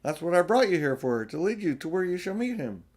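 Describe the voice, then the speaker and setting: A man's voice: sits high, big pitch swings, fairly quick, darkish tone, pitched above the performer's own voice. Man acting a talking beaver, male, earnest, solemn, folksy, emphatic, storybook woodland character. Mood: reverent, assured.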